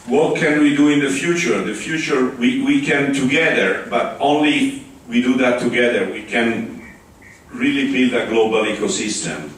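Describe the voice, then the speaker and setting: A man with an Italian accent giving a conference talk, pausing often with fillers and restarts. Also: mid-range voice, a bit bright. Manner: halting, with many restarts and fillers. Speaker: conference speaker. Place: large conference hall. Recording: echoey hall, far from the mic.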